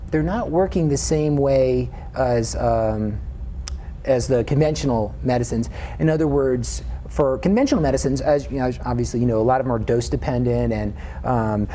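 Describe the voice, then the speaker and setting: A man's voice: mid-range voice, dark tone, a little hiss or hum. A man hosting an interview, asking curious questions and paraphrasing answers back, with fillers like um and you know. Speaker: interviewer host.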